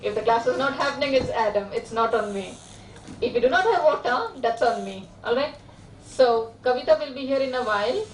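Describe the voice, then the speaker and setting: A woman, a course organiser giving brisk, joking announcements before a lecture. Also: fairly high pitch, slight background noise. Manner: brisk, joking, hesitant restarts. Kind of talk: classroom announcements. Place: lecture hall. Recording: echoey hall from far off.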